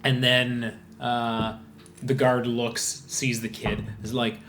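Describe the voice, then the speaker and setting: A man running a tabletop game as game master, describing scenes in a halting way with many fillers and restarts. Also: medium pitch, little pitch movement, a bit bright. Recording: echoey room, faint noise.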